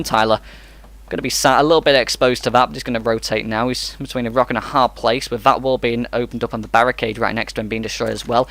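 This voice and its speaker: Man giving rapid esports commentary. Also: medium pitch, slight background noise. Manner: rapid, energetic running commentary. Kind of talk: play-by-play game commentary.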